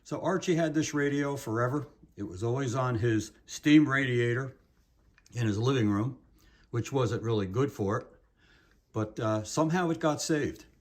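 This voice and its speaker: A man's voice: mid-range voice, normal speed. An older man with an American accent giving an informal, folksy show-and-tell narration as a host, explaining things as he goes. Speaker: host of a vintage collectibles show.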